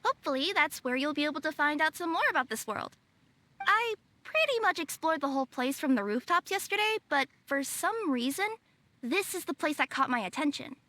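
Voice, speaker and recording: A woman's voice: high voice, lively intonation, a little fast, sharp tone, no room sound. A woman voicing a confident, playful young female yokai courier in a video game, chatty and curious. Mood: breezy, confident. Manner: chatty, breezy.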